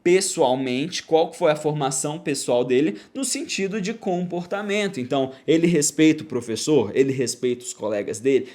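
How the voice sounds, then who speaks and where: A man's voice: a little high, darkish tone. A man hosting an education podcast, explaining in a didactic way and often checking in with the listener. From Brazil. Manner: didactic, often tags sentences with "tá?". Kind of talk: explanatory solo talk.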